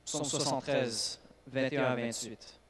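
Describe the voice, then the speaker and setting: A man, a native English speaker, preaching in a church with lively bursts of emphasis and frequent restarts. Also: mid-range voice, a little slow, over a noisy crowd. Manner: animated preaching with restarts and self-corrections. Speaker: youth speaker. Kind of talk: sermon.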